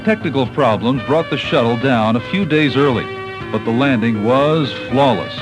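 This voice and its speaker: A man's voice: medium pitch, animated, moderate pace, low-quality recording. American man narrating a documentary over music. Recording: 1980s cassette tape, music behind. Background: background music.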